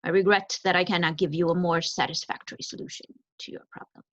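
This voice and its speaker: Woman reading as a solemn blunt owl gentleman, very proper and measured, storybook style, dull dry audio. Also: fairly low pitch, steady tone, dry recording, natural voice. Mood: grave, dismissive. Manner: formal, measured, pronouncing. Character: grave, formal old male owl, certain and blunt. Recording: dull, lo-fi close recording.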